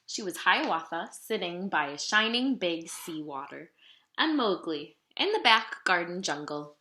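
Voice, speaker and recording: An animated woman reading aloud, roomy sound. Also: lowish voice, measured pace. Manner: animated, dramatic storytelling delivery. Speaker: storybook reader. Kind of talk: expressive read-aloud of a children's picture book. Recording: roomy, echoing room sound.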